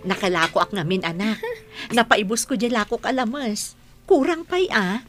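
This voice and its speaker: A woman's voice: medium pitch, a little slow, over music, close to an everyday voice. A woman playing a beleaguered married mother who sells food in a village, in Filipino-accented radio drama. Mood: friendly, tired.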